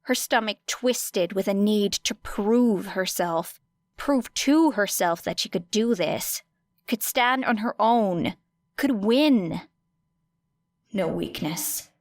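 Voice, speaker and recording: Female audiobook narrator: dramatic, expressive, measured pace, medium pitch, dry close sound. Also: dry recording. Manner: deliberate, expressive phrasing with weighty pauses. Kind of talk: dramatic scripted fiction narration.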